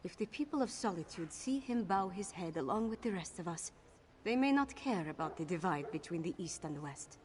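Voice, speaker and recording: A woman's voice: lowish voice, lively intonation, brisk, darkish tone, slight background noise, lightly acted. An actress playing a resolute, grave and caring female warrior leader in a video game. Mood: grave, determined.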